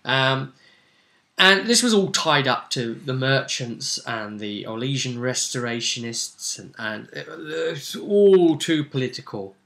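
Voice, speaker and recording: A man's voice: medium pitch, lively intonation, slow pace, vocal fry, sharp tone. A man casually recapping a role-play game as the session's host.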